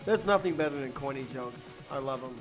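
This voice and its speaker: A man's voice: mid-range voice, soft background music, rough recording. A chatty, upbeat man, a guest on a livestream, greeting viewers and talking about rum. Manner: chatty, upbeat, quick shout-outs. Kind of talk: casual chat, greeting viewers.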